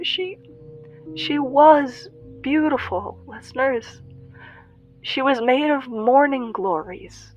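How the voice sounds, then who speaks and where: Young female survivor radio broadcaster, acted by a woman, audio drama monologue, unhurried pace, halting, raw, confiding, music underneath. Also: on the high side, dull audio. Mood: anguished, tearful.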